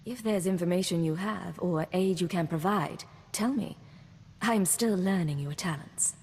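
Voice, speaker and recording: A woman's voice: on the low side, unhurried, darkish tone, husky. A woman playing a cool, self-assured intelligence agent in a video game, composed and wry. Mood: businesslike. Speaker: game player character. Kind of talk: scripted game dialogue acting. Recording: reverberant hall, faint background noise.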